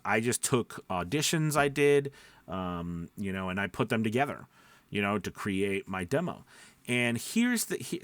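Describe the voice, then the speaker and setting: A man's voice: mid-range voice, a bit bright, dry recording. A man coaching in an informal, conversational way, emphatic and rambling, often checking in with short questions. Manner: rambling, self-interrupting. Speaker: voice-over coach and mentor.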